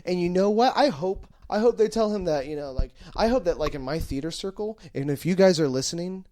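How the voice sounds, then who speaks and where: Higher-voiced male podcast comedian. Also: a little fast. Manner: animated, acts out imagined dialogue, swears freely. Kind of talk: casual comic banter, improvising scenarios.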